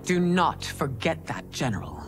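An actress playing a stern, regal empress in a cinematic video game, speaking formally and with command. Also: female voice, low voice, animated, moderate pace, loud music behind the voice. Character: regal, stern empress and mother ruling a realm.

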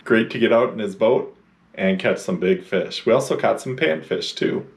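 A man's voice: fairly low pitch, moderate pace, gravelly, slightly rough, no room sound. A middle-aged man chatting casually while fishing from a boat on a lake, recorded on an action camera. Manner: relaxed, chatty, cheering on fish. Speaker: fishing vlogger.

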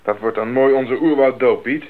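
A man's voice: medium pitch, moderate pace, close to an everyday voice. An actor playing a young male missionary in a radio drama, thoughtful and dryly good-humoured. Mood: questioning. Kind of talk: 1980s radio drama acting. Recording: muffled, noisy 1980s radio recording.

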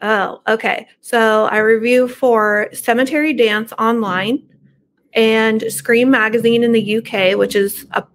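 A woman's voice: on the high side, steady tone, unhurried. A middle-aged woman chatting informally as an interview guest, speaking from home over a video call. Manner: informal, conversational. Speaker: podcast guest, book reviewer and horror entrepreneur. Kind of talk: casual interview chat.

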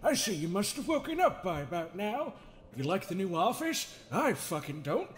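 A man playing a quirky, needling therapist in an improvised comedic game dub, speaking theatrically with halting pauses and self-amused chuckles. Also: high-pitched, big pitch swings, slight background noise. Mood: needling, wry.

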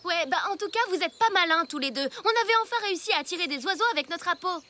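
A woman's voice: very high-pitched, animated, quick pace. A woman voicing a confident, slightly bossy young girl in a children's cartoon, chiding and matter-of-fact. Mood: annoyed. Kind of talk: French children's cartoon voice acting. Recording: loud music behind the voice.

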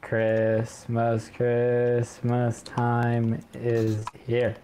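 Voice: mid-range voice, completely flat delivery, very slow, mellow. A gamer chatting casually with friends in a laughing, teasing manner. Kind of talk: casual gaming chat.

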